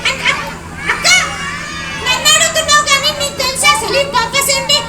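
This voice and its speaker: ఒక మహిళ చేసే చిలిపి చిన్న పిల్ల బొమ్మ, చాలా సన్నని గొంతు. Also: female voice, very high-pitched, brisk, loud music behind the voice, over a noisy crowd. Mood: playful. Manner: teasing, playful, sing-song. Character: cheeky, chatty little kid puppet. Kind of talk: live puppet show. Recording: echoey, distant, noisy hall.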